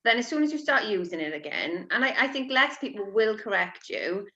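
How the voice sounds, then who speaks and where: Bright-voiced woman on dull audio. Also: mid-range voice, lively intonation, brisk. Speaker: webinar co-presenter. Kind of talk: training webinar talk.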